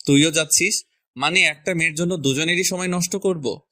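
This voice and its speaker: A man's voice: on the high side, moderate pace, sharp tone, no room sound, studio quality. A man playing an irritable, bossy young man who scolds curtly in a romantic audio story, dropping in English words. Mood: annoyed, scolding. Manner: scolding, curt, mixes English words into speech. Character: irritable, bossy young man, impatient older brother type.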